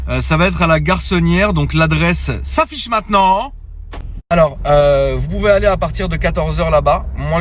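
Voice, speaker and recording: A man chatting casually into his phone from a car, relaxed and slangy, with lots of fillers and restarts. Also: a little high, slight background noise. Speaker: social media creator. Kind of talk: casual phone story announcement.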